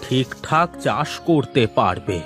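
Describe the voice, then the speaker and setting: A man's voice: mid-range voice, lively intonation, slow pace, music in the background. A man voicing an ageing, worried but fair-minded village father in a cartoon. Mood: solemn, reproving. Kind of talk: Bengali cartoon moral tale dialogue.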